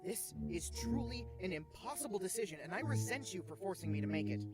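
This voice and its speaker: A man's voice: high voice, wildly swooping pitch, fairly quick. A man playing a fussy, bookish adventurer in an audio drama, with a melodramatic, hesitant manner. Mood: exasperated, dramatic. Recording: loud music behind.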